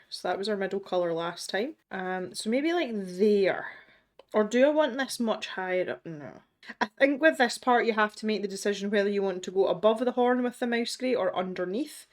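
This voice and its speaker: A woman host, congested from a cold, chatting casually while she colours. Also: mid-range voice. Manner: chatty, self-deprecating, thinks aloud. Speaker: art channel host. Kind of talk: casual colouring tutorial chat.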